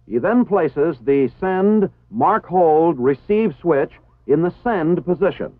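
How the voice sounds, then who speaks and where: A man with an American accent reading formal, scripted instructional narration in a measured, precisely enunciated way. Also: fairly high pitch, animated, dry recording. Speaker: training film narrator. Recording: tinny phone-like 1960s film soundtrack.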